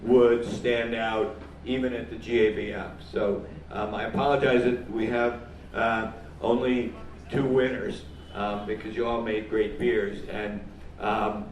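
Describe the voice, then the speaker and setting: Halting man in an echoey hall. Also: on the low side, level tone, a little mellow. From New England. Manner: halting, with frequent pauses. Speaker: competition host announcing winners. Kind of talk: informal speech at a live event. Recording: echoey room, mic far off, faint noise.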